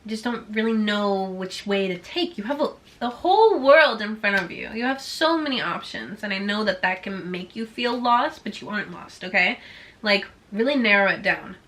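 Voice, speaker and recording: Bright-voiced chatty young woman. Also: mid-range voice, lively intonation, moderate pace. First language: Swedish. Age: about 20. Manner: bubbly, chatty, heavy use of "like". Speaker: vlogger. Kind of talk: casual Q&A chat to viewers.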